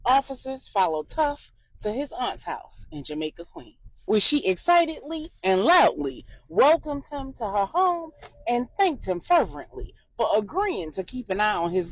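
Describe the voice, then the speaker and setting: Expressive woman telling a story. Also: fairly high pitch, no echo, rough recording. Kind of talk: expressive scripted crime story narration.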